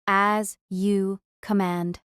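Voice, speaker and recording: A woman's voice: medium pitch, level tone, drawn-out words, fairly bright tone, smooth, no room sound. Woman acting as a formal, dry-sounding female knight. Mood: dutiful. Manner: formal, deferential. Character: dutiful, formal female knight, protective and earnest. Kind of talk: audio drama acting.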